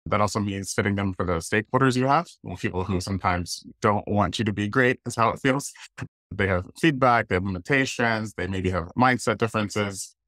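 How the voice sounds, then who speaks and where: A man's voice: lowish voice, fairly quick, fairly bright tone, dry recording. A man in his thirties with an American accent, giving an informal online presentation in a casual, conversational way. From Washington, D.C., United States. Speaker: guest speaker, design leader. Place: indoors, plain room on a video call.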